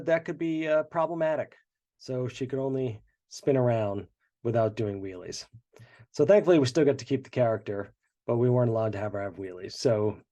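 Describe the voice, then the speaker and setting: A man's voice: medium pitch, dark tone. A congested man, the show's creator, chatting through behind-the-scenes commentary with many fillers. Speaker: show creator.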